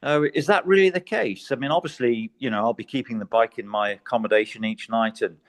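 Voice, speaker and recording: Polite British man on a video call, mid-pitched, stumbles over his words. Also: fairly quick. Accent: neutral British. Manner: hesitant, repeats words, polite. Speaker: tour participant asking a question. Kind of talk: casual group video call.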